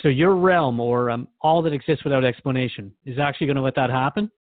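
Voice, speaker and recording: An earnest, curious radio host, played by a man asks questions with a touch of nervous worry. His voice sits in a middle pitch. It sounds dry and thin, with a narrow, phone-like band. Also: fast talker, no echo, close to an everyday voice. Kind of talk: radio drama acting.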